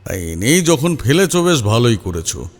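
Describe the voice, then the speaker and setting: A man's voice: mid-range voice, big pitch swings, normal speed. A man playing a genial older tantric storyteller in an audio drama, with an avuncular drawl. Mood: pleased, teasing.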